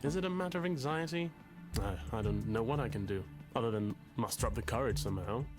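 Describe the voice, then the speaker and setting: A man's voice: medium pitch, fast talker, music in the background, natural voice. An actor playing a pompous, anxious, lovesick male literature student in a video game, speaking in a verbose, formal and hesitant way. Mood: earnest, anxious. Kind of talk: visual novel game voice acting.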